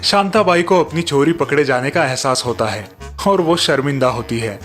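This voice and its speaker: A man's voice: fairly high pitch, normal speed, fairly bright tone, soft background music. A man reading scripted narration for a cartoon story.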